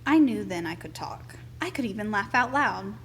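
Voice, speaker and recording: A woman reading a children's picture book aloud as expressive, deliberate storytelling. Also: mid-range voice, a little hiss or hum.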